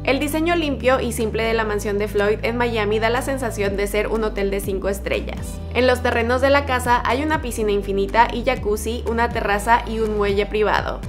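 Young female host, upbeat and chatty, slightly high voice, over music. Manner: upbeat, chatty, addressing viewers directly. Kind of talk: scripted celebrity video presentation. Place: studio with plain backdrop, voice over music.

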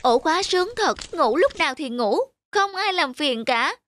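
A woman voicing a weary, self-pitying house key in an animated fable, plaintive, sighing and drawing out the words. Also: high voice, moderate pace. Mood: grumbling, envious. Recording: loud music under the voice.

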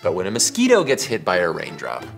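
A man's voice: low voice, wildly swooping pitch, fast, gravelly. An American man in his forties hosting a science explainer, talking to camera in a casual, emphatic, conversational way. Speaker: science show host. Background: background music.

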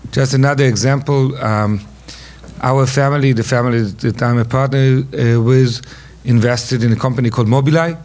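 A man in his forties with an Israeli accent, speaking haltingly on a conference panel. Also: medium pitch, fairly even intonation, darkish tone. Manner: halting, many fillers. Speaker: panelist, investor. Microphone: stand mic.

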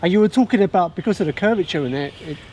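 A man's voice: high voice, expressive, very fast, a little mellow. A man holding the camera and asking chatty questions in a woodland. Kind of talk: casual outdoor chat. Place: woodland outdoors. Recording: distant outdoor camera audio, clear noise.

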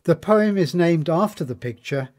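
A man narrating a scripted biography in a measured, formal manner. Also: fairly high pitch, lively intonation, a little mellow.

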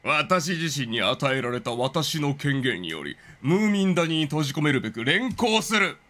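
A man's voice: fairly high pitch, lively intonation, moderate pace, bright, forceful tone. A man voicing a pompous, officious villain park keeper in a video game, proclaiming like a stern official.

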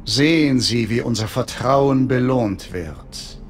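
A man voicing an imperious, pompous alien high priest in a video game, solemn and authoritative. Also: slow, faint music behind, close to an everyday voice. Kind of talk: cinematic video game acting.

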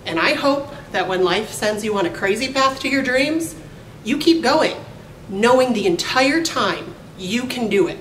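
An American woman in middle age gives a formal prepared speech at a measured pace of phrasing. She is some way from the mic in an echoey room. A faint rumble sits behind her. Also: expressive, a bit bright, recorded from a distance. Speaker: college faculty speaker. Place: indoor room at a podium.

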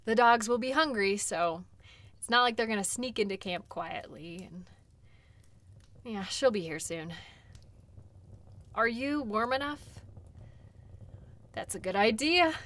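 A woman playing a caring, chatty dog-sled guide in an audio role play, gentle and reassuring, with conversational pauses. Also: on the high side, animated. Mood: upbeat, casual.

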